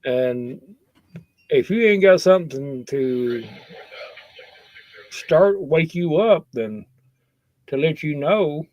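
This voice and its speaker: Folksy man, slightly high voice, dull lo-fi sound. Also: expressive, slow, pauses often, a little mellow, a little hiss or hum. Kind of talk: casual, rambling safety talk.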